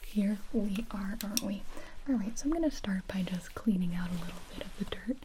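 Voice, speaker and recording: A woman's voice: medium pitch, fairly quick, very noisy, natural voice. A woman whispering as a rude ENT doctor. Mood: deadpan whisper. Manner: deadpan, insulting bedside manner. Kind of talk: comedic ASMR roleplay. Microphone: close mic.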